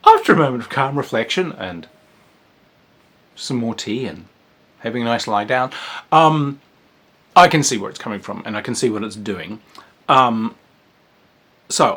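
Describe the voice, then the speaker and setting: A man's voice: medium pitch, a bit bright, husky. A man giving a casual spoken review of a tabletop game, rambling and hesitant, with frequent fillers and dry asides.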